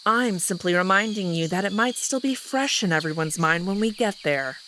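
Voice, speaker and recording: A woman's voice: medium pitch, lively intonation, normal speed, sharp tone, a little hiss or hum. A woman playing a haughty, teasing female alien wolf noble in a visual novel, arch, composed and dismissive.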